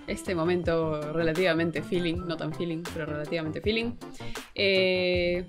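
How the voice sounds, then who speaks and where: A woman's voice: fairly low pitch, fairly even intonation, normal speed. A young woman co-hosting a casual video podcast in a playful, teasing way. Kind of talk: casual chat. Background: background music.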